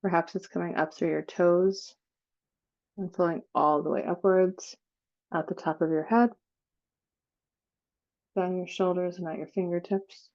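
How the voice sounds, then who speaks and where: Female meditation guide. Lowish, dark and soft-toned, unhurried and soothing, leaves long gaps. Slightly dull recording. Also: fairly even intonation. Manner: slow, soothing, with long pauses.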